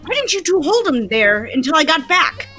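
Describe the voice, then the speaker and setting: Animated, puzzled detective voiced by a woman. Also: high-pitched, normal speed, soft background music, heavily acted. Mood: puzzled, probing. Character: earnest, baffled police detective. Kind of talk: radio play table read.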